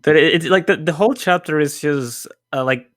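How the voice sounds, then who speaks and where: A man chatting casually as a podcast panelist, halting and repeating himself in slangy speech. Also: on the high side, fairly bright tone. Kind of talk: casual chat.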